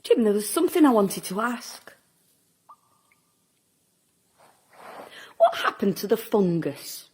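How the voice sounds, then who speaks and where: An actress playing a stubborn, sharp-tongued Yorkshire woman in an audio drama, with a blunt, salty way of talking. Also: mid-range voice, big pitch swings, normal speed, lightly acted. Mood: quiet, wistful.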